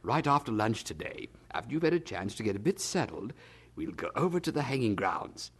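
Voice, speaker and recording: Period audio drama: hearty, self-satisfied older brother, husky, very animated delivery. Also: male voice, medium pitch, fairly quick. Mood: gleeful, condescending. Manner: chuckling, hearty, condescending, stammers when evasive. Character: jovial, blustering older brother, 18th-century English townsman.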